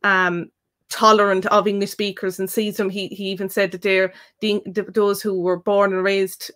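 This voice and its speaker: A woman's voice: mid-range voice, level tone, normal speed, fairly bright tone. Chatty Irish woman tutor. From Ireland. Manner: thoughtful, rambling with many fillers. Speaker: Irish language tutor and co-host. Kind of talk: live stream Q&A.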